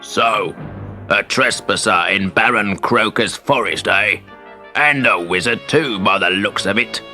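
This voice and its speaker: A man voicing a menacing toad captain in a children's audiobook, gruff and bossy with a croaking, gravelly voice. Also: fairly low pitch, unhurried, sharp tone, soft background music, heavily acted. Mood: threatening, commanding. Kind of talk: audiobook character voice.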